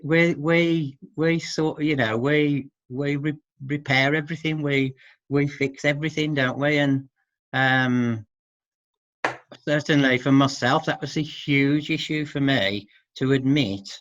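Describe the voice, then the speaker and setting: A middle-aged man speaking as an expert guest in an informal interview, hesitant and halting, often seeking agreement with tag questions. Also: on the high side, steady tone, fairly bright tone, dry recording. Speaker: expert guest who works with men. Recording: dull, muffled lo-fi audio.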